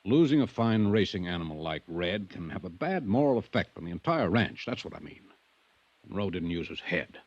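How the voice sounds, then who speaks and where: A man's voice: low-pitched, sing-song, a little fast, darkish tone, no room sound. An actor playing a middle-aged American ranch owner, a curt, irritable, combative father, in a 1950s radio drama. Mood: indignant, defensive. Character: gruff, defensive, hot-tempered ranch owner and stern father. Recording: dull, dry vintage radio recording.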